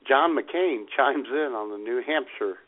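A man's voice: medium pitch, a little slow. A man co-hosting a radio show, bantering with mock disbelief. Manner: incredulous, joking. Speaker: co-host. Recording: tinny phone-like audio.

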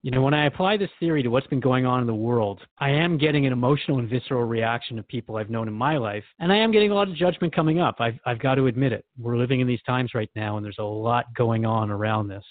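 A man's voice: mid-range voice, fast talker, hardly any pauses, no room sound, narrow, tinny audio. A man hosting a conversational radio interview, speaking informally and reflectively, with some hesitancy as he thinks aloud. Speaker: radio show host and interviewer.

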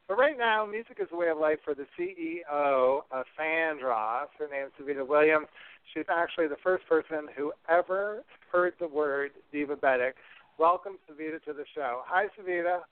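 Male podcast host, upbeat, smooth and slightly high voice, dry phone-quality audio. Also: no room sound. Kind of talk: upbeat show hosting and interviewing.

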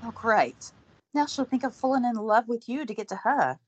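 A woman's voice: fairly high pitch, lively intonation, normal speed, breathy edge, highs cut off. Woman playing a flustered young woman. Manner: flustered, earnest. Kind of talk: audio drama acting.

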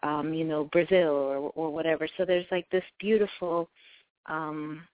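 A woman's voice: low-pitched, fairly even intonation, normal speed, no room sound. A woman from Ethiopia, raised near Washington DC, chatting as a guest singer-songwriter on a radio interview, enthusiastic and full of fillers. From Ethiopia, raised in suburbs near Washington DC. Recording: dry, tinny phone-like line.

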